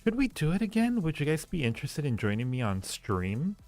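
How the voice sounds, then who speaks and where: A man hosting a casual livestream, musing aloud in fragments as he talks with viewers in a gravelly voice. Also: very animated, brisk, darkish tone, soft background music. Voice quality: low-pitched, gravelly creak. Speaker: livestream host reading viewer chat.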